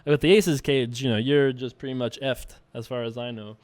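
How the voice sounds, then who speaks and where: Jokey, smooth-voiced man. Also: mid-range voice, steady tone, normal speed, a little mellow, dry recording. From United States. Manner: rambling, jokey, self-mocking, laughs at his own jokes. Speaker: poker video host. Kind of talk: casual live commentary over online poker play.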